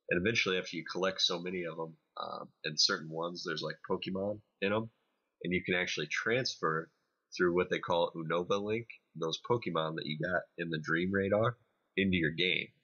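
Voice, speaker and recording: Low-voiced man with a bright, forceful edge. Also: fairly quick, husky, highs cut off. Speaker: podcast co-host reviewing games. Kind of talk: casual video game review chat.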